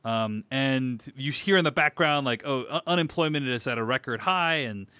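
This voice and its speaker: A man's voice: mid-range voice, normal speed, pushed and bright, clean tone, no room sound. Rambling man hosting a film podcast. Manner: rambling, many restarts and fillers. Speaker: podcast host. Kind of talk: casual film discussion.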